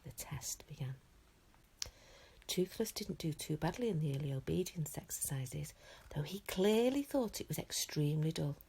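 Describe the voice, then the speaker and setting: A woman teacher reading a children's book aloud with expressive storytelling. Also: deep voice, moderate pace, gravelly, slightly rough. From England.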